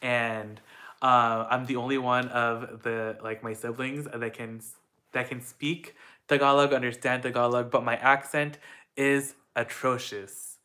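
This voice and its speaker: Chatty upbeat man, bright voice. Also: mid-range voice, level tone, unhurried. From Philippines. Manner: chatty, upbeat, lots of fillers. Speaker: vlogger answering viewer questions. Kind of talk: casual Q&A chat. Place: home.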